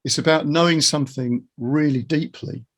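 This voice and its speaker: Middle aged guy hosting a loose chat, kinda husky, thinks out loud and circles round ideas. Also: on the high side, steady tone, moderate pace. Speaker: interviewer, guitar teacher. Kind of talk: casual chat, musing.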